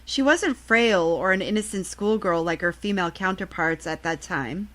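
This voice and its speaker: A woman's voice: lowish voice, moderate pace, a bit bright. A woman presenting a podcast segment, reading a prepared countdown report from a script. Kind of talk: scripted countdown report on horror video games.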